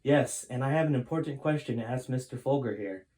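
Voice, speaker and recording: A determined father and time traveller, acted out by a male reader from a storybook. His mid-pitched voice is earnest, anxious and emphatic. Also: a little fast, warm tone, lightly acted.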